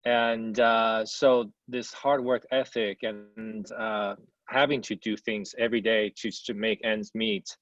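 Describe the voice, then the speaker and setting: A man speaking as an interview guest, answering casually in halting, thoughtful phrases. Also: lowish voice, flat delivery, fairly bright tone, no echo, muffled recording. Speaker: interview guest, hotel executive. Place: indoors at a hotel.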